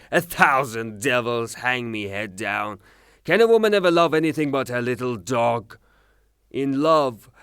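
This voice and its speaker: A man's voice: mid-range voice, a bit bright, clear, resonant tone, dry recording. A man acting in a theatrical audio drama as a furious, rude creditor given to blustering, sarcastic tirades. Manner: blustering, declamatory, sarcastic, long rhetorical tirades. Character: furious, rude creditor, a ranting misogynist gentleman.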